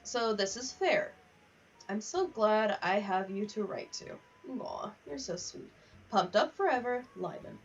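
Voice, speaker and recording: A woman's voice: mid-range voice, animated, measured pace, creaky, breathy edge. Candid, sweary woman streamer, dull distant mic. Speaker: livestream host. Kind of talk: casual gaming livestream chat, reading in-game letters aloud. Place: home office.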